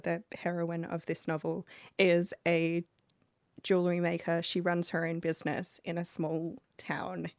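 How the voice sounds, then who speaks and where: A woman's voice: on the low side, creaky, no echo, clean studio sound. A young woman co-hosting a podcast in casual chat, laughing easily and reading passages aloud. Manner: reads passages with put-on voices, laughs easily. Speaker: podcast co-host.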